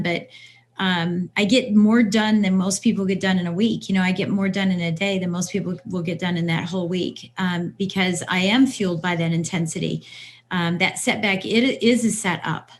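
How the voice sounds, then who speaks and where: An older woman around sixty speaks as a podcast guest in a conversational, motivational way. She is emphatic and often repeats her phrases to drive them home. Her pitch sits in a middle range for a woman. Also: steady tone, brisk. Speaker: podcast guest, business coach.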